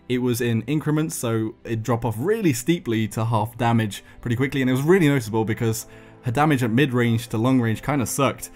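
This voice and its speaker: A man with a medium-pitched voice delivers informal gaming commentary. He explains things in a conversational way, putting weight on the key points. Music plays behind him. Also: darkish tone. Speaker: gaming video host. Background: background music.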